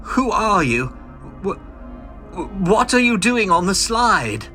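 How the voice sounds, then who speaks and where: Bitter, swooping mad little scientist. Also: male voice, sits high, sing-song, pushed and bright, soft background music, full character voice. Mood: awed, smitten. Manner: agitated, pleading, melodramatic, halting. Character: small, bitter, frantic mad scientist, male professor. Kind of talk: dramatic vintage comic audio drama.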